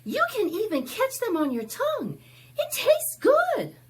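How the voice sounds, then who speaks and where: A woman's voice: very high-pitched, wildly swooping pitch, measured pace, voice pushed up, exaggerated voice. A woman voicing eager, friendly children in a picture book, exclaiming as they play. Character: excited, friendly neighborhood children playing in snow. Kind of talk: picture book characters read aloud.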